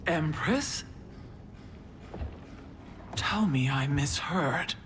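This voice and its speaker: A woman's voice: deep voice, lively intonation, drawn-out words, a bit bright, soft background music, exaggerated voice. A woman playing an honorable, loyal warrior queen in a video game cutscene, stern and grave in her delivery. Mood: shocked, challenging.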